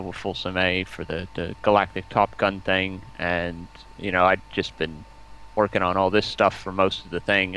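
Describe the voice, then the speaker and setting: A man's voice: low-pitched, a bit bright, a little hiss or hum, rough recording. A man chatting casually as a stream guest, rambling enthusiastically over a voice call. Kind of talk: casual chat.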